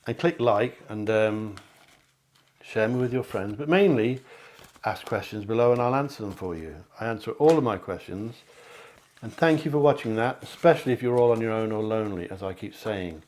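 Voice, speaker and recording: A man with a fairly low voice hosts a psychic card reading. He speaks directly to the listener and repeats key phrases for emphasis. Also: lively intonation, normal speed, darkish tone. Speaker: psychic medium, card reader, host. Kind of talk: tarot reading addressed to viewers. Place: at home.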